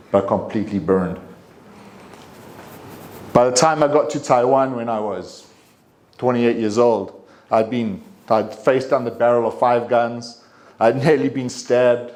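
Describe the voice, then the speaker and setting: A man's voice: mid-range voice, darkish tone. South African man telling a personal story. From Durban, South Africa. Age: 50s. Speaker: club speaker giving a personal speech. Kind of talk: prepared personal storytelling speech.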